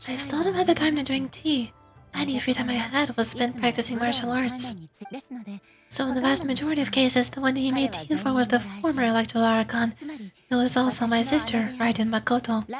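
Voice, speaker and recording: A woman voicing a calm, dignified female ruler as she reads game dialogue aloud, formal, measured and reflective. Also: a little high, natural voice. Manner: formal, measured, occasional misreadings. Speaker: VTuber streamer.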